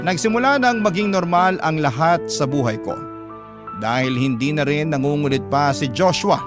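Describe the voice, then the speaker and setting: A man's voice: on the high side, expressive, moderate pace. Filipino man narrating over prominent music. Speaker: radio drama host and narrator. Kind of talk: dramatic first-person letter reading.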